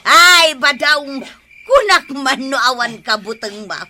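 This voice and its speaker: A woman's voice: high voice, expressive, slow, sharp tone, slight background noise, heavily acted. A woman acting a bossy, mocking village woman who scolds and teases in a comedic radio drama.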